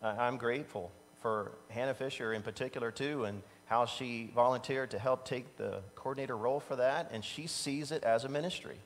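A man's voice: mid-range voice, a little fast, hardly any pauses, a little hiss or hum. A man in his fifties preaching a casual, conversational sermon from a church pulpit, telling personal stories and chuckling at himself. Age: 50s. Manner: animated storytelling, laughs at his own stories. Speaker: pastor. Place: large church sanctuary stage. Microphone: standing at a pulpit mic, far camera. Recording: long reverb from a church hall.

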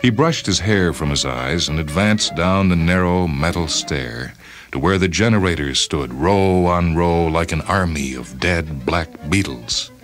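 A man's voice: low voice, unhurried. A man hosting and reading short stories as scripted literary narration. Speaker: host and story reader. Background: music and sound cues.

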